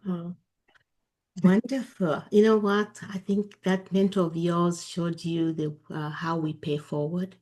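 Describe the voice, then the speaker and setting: A woman's voice: on the low side, steady tone, unhurried, darkish tone, rough recording. A woman hosting a podcast interview, with affirming interjections. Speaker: podcast host.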